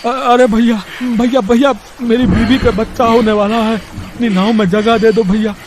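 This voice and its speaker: A man's voice: very high-pitched, steady tone, moderate pace, background noise. A man voicing a poor village labourer, a caring husband and father, earnest and fretful, in a moral-story cartoon. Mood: distressed.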